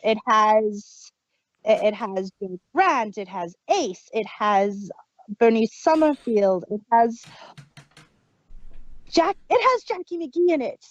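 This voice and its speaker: A woman co-hosting a podcast, her voice a little high and her pace unhurried. She chats casually and stops and restarts as she thinks. The recording sounds dull and lo-fi, with no room echo at all. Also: animated. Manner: hesitant, restarts often, chatty. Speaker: podcast co-host. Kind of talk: casual review chat. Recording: dull lo-fi dry home mic.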